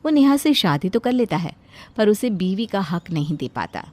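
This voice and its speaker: Woman storyteller with medium-pitched voice. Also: sing-song, moderate pace, darkish tone. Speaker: narrator. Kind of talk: scripted narration of a Hindi animated moral story.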